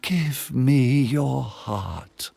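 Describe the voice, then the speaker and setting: A man voicing the lonely, pleading soul of a fisherman in a bedtime story, with slow, drawn-out words. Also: medium pitch, animated, vocal fry, mellow, dry recording, faint music behind, pitched above the performer's own voice, full character voice.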